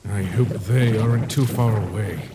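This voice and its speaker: A man voicing a gentle, worried older skeleton monster brother in a comic dub, measured and thoughtful. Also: fairly low pitch, noisy background, close to an everyday voice. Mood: concerned.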